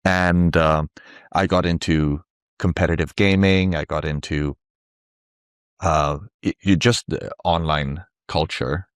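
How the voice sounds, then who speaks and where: A man's voice: very deep voice, steady tone, slow, smooth, dry recording, studio quality. A middle-aged man from Sweden, a native Swedish speaker, explaining things conversationally and reflectively as an interview guest. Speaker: interview guest, tech company founder.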